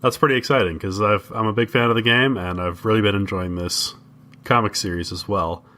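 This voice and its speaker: A man co-hosting a podcast, casually discussing comics in halting, measured phrases. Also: fairly low pitch, steady tone, sharp tone. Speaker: podcast co-host.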